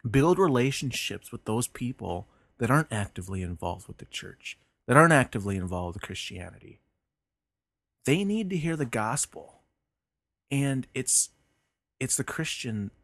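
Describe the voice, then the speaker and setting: A man's voice: mid-range voice, big pitch swings, normal speed, gravelly, fairly bright tone, husky, no room sound. A man hosting a podcast, talking earnestly and reflectively about faith, with frequent restarts and fillers. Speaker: podcast host.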